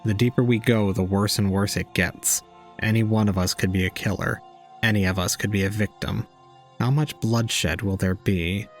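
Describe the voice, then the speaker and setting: Low-voiced cold young man, acted by a man, gravelly and flat, deliberate audio drama fatalist. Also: faint music behind, close to an everyday voice. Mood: grim, bleak. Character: cold young man preaching despair with detached logic.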